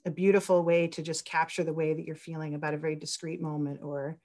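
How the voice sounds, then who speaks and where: A woman's voice: sits low, flat delivery, quick pace, darkish tone. A woman speaking casually and effusively as a writer answering questions on a panel. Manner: enthusiastic, effusive. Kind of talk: casual Q&A talk.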